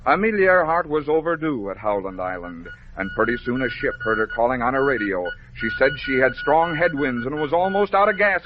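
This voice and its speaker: An American man narrating a scripted story for children as a 1950s radio host, phrasing it clearly and dramatically. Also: medium pitch. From American Midwest. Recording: dull, noisy vintage 1950s radio recording.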